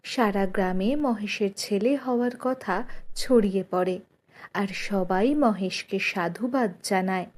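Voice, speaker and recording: A woman's voice: medium pitch, a little slow, a little mellow. A woman reading scripted narration for a children's cartoon story.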